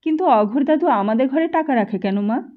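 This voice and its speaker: A woman's voice: high voice, expressive, fairly quick, narrow, tinny audio, voice pushed up. A woman voicing an innocent, curious young schoolboy in an audiobook, asking naive, insistent questions. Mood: wondering. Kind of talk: audiobook character voice.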